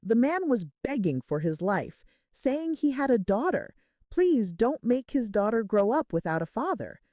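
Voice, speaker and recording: A brooding young mafia boss, as a woman narrator plays him, confessing with earnest intensity and remorse. The pitch swings in a lively way, and the sound is dry and close, as in a romance audiobook. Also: unhurried, dry recording. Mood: haunted, remorseful.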